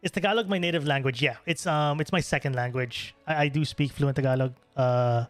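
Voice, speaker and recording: A man's voice: a little high, a little fast, no echo. A young man from the Philippines, streaming a game and chatting casually with viewers, rambling with many fillers and restarts. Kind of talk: casual gameplay stream chat. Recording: faint music behind voice.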